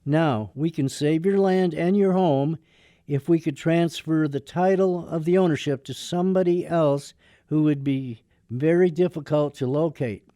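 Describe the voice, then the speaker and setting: An actor playing a brusque, sardonic attorney with a soft heart in a radio drama. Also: male voice, fairly high pitch, measured pace, dry recording, clean studio sound, natural voice. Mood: earnest, persuasive.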